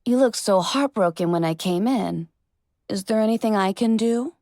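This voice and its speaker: Woman voicing a gracious, gentle singer mare. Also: mid-range voice, normal speed, no room sound, clean studio sound, close to an everyday voice. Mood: gentle concern.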